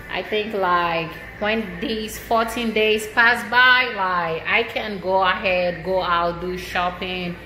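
A woman's voice: medium pitch, poor audio. A woman vlogger showing her nearly empty apartment on a handheld phone, talking casually and laughing between lines. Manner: slow, relaxed, laughs often between lines. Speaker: vlogger, service member. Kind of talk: casual home tour vlog. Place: small empty apartment, indoors. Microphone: handheld phone camera, speaker off-screen.

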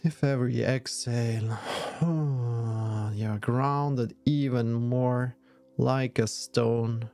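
A man leading a guided yoga nidra meditation in a soothing, measured way with long pauses. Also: medium pitch, level tone, vocal fry, a little mellow.

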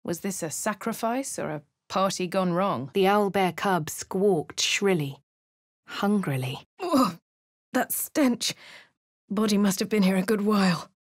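A woman voicing a posh, refined female adventurer in a video game, with a crisp, well-spoken English manner. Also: medium pitch, expressive, a little slow, no echo, studio quality. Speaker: player character voice lines. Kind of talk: game voice lines and narration.

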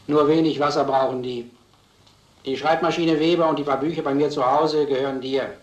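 An actor playing a kindly senior police chief with wry, unhurried authority. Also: male voice, on the high side, steady tone, lightly acted. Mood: businesslike. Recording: echoey, noisy old film soundtrack.

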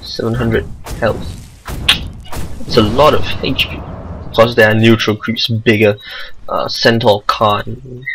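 A man with a Singaporean accent giving casual amateur commentary over a video game match, excitable and rambling. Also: mid-range voice, a little hiss or hum, rough recording. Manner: excitable, rambling asides, self-deprecating.

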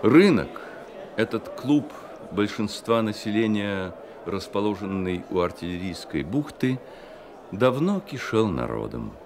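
Expressive deep-voiced male radio narrator. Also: background noise. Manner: measured, expressive storytelling with deliberate pauses. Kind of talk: scripted literary narration, Soviet radio drama. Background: music.

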